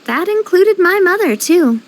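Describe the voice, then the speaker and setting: A woman's voice: extremely high pitch, lively intonation, measured pace, noisy background. An actress playing a confident, friendly young woman who is a candid and gracious boss in a video game. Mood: wry, exasperated. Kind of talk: English video game voice acting.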